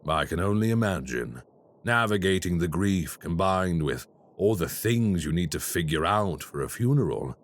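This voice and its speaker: An actor playing a polite, quietly menacing male crime boss in an audio roleplay. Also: low-pitched, expressive. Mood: smooth menace. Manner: slow, courteous and quietly menacing.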